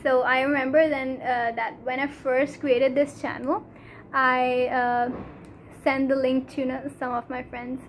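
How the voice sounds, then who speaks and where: A teenage girl from Pakistan with a Pakistani English accent, Urdu her first language, talking earnestly to a phone camera in a small room, halting, with many fillers. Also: high voice, flat delivery, a little hiss or hum. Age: teens. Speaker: vlogger. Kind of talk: casual talk to camera. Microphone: phone camera at arm's length.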